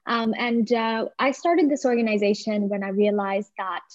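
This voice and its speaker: A woman's voice: mid-range voice, normal speed, dry recording, lo-fi sound. A woman in her twenties from Bangladesh, introducing herself on a video call with many hesitant "um"s. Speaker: nonprofit founder.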